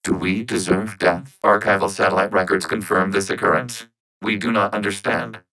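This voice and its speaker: An actor playing a calm, logical synthetic robot in a video game, speaking in measured, formal phrases through robotic voice processing. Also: male voice, sits low, rough recording. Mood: earnest, subdued. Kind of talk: video game character dialogue.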